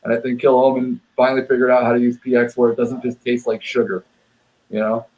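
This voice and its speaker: A man's voice: mid-range voice, fast talker, low-quality recording. Opinionated guy from Quebec. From Quebec, Canada. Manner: opinionated, emphatic, repeats words when excited. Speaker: guest whisky reviewer. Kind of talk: casual live-stream chat.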